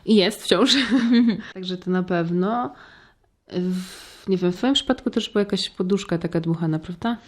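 A woman's voice: lowish voice, moderate pace. A woman co-hosting a podcast, talking in a casual, conversational style. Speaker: podcast co-host. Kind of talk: casual chat.

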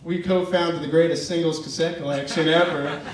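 Young male best man, slightly high voice, halting and teasing wedding toast, roomy PA sound. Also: fairly even intonation, crowd noise. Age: young adult. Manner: halting, affectionate, teasing delivery. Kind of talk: informal joking wedding speech. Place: large wedding reception tent at night. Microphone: handheld PA mic, picked up by distant camera. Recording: distant echoey PA sound, noisy guest-filled tent. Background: noisy wedding guests.

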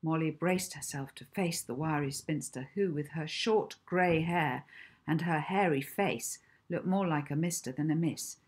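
A woman in her fifties reading her own children's book aloud as an expressive, deliberate storyteller. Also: low voice, husky. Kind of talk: children's audiobook narration. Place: small indoor room at home.

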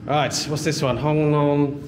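A man's voice: on the high side, little pitch movement, unhurried, roomy sound, in a large hall. A man leads a casual tour of an empty house for sale, filming as he walks and chatting as he goes. He thinks aloud and slips into broken Thai phrases. His voice rings around the bare hard-floored rooms, and a faint hiss runs underneath. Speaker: vlogger touring a house for sale. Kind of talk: casual walk-through narration.

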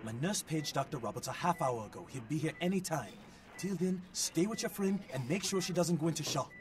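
Male actor as overworked emergency doctor, slightly high pitch, brusque, officious, formal, video game dialogue. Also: lively intonation, brisk, a little hiss or hum, close to an everyday voice. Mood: brusque, hurried.

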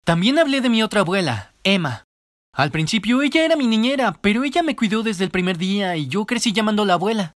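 Fast, high-pitched expressive male storyteller. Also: no echo, studio quality. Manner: expressive, comedic, self-deprecating. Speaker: narrator of an animated storytime video. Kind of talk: animated storytime narration, fan dub.

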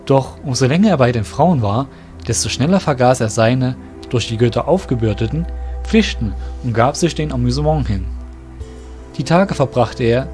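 A man narrating a fan-made audio drama in a calm, even storytelling manner. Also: mid-range voice. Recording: soft background music.

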